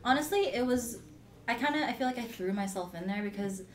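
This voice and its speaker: A woman's voice: fairly high pitch, animated, a little fast, noisy background. A young woman with a Canadian accent chats casually as a podcast guest, in an informal, slangy, giggly way. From Philippines and British Columbia, Canada. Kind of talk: casual chat, personal anecdotes.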